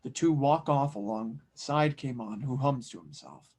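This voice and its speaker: A man with a mid-pitched voice serves as narrator and director of an amateur audio drama. He speaks as the one leading the table read. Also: animated, a little slow, gravelly, a little mellow, slightly rough.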